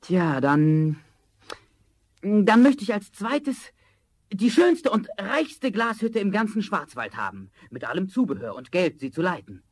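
A poor young charcoal burner in an old fairy-tale audio drama. He sounds naive and eager, declaiming in a fairly high voice with lively swings of pitch. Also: measured pace, exaggerated voice. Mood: excited, greedy. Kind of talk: vintage German fairy-tale radio play acting.

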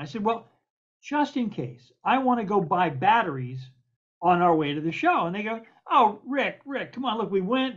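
An older man, a producer and co-host, telling an emphatic, animated story over a video call. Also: high-pitched. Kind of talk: casual storytelling. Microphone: video call webcam. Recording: dull lo-fi video call audio.

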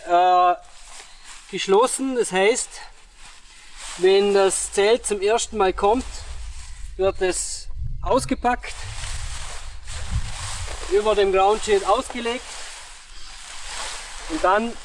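A young man giving step-by-step instructions on pitching a tent in an outdoor meadow, pausing with fillers as he works. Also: slight background noise. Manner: explains step by step with pauses and fillers. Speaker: product demonstrator.

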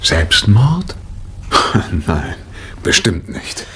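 An actor playing a cool, wry amateur detective in a 1960 radio mystery, with dry, theatrical banter. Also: male voice, low voice, huge pitch swings, very slow, pushed and bright, exaggerated voice. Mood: musing. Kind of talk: 1960 German radio mystery play acting. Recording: vintage vinyl radio play, noticeable surface noise.

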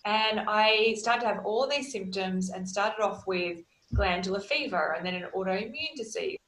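A woman in her thirties, a holistic wellness practitioner, telling her story in earnest, steady lists on a video call from a small room at home. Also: mid-range voice, moderate pace, echoey room, low-quality recording. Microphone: video call, laptop camera.

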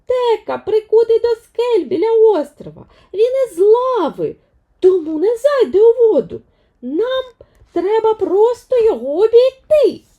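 A brave teenage island girl, as a woman storyteller plays her. The voice sits very high and swoops with earnest resolve. She declaims in a slow, drawn-out fairy-tale manner. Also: sing-song, narrow, tinny audio, heavily acted. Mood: insistent, hopeful.

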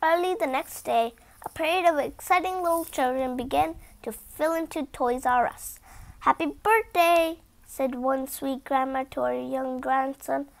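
A young girl with a high voice reads a storybook aloud. She goes slowly and carefully, leaning on words for expression. Now and then she stumbles like a new reader. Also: gravelly. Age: child. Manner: careful beginner reading with stumbles and expressive emphasis. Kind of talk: storybook read-aloud with spontaneous asides.

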